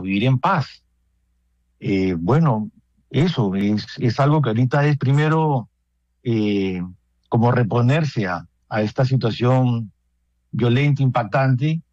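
Peruvian-accented male priest, radio guest, thoughtful, halting delivery, dull dry line. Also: medium pitch, darkish tone, no room sound. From Peru. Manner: halting, thoughtful, many restarts and fillers.

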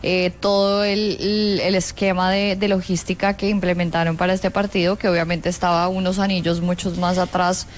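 A woman's voice: fairly low pitch, flat delivery, moderate pace, few pauses, a little hiss or hum. A woman with a Colombian accent, a sports journalist giving her account in an unscripted panel discussion.

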